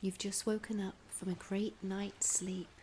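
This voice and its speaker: A woman narrating a scripted guided imagery meditation in a soft, soothing, breathy voice, gently instructing with long pauses. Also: medium pitch, little pitch movement. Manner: unhurried, with long pauses between phrases. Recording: clear background ambience noise.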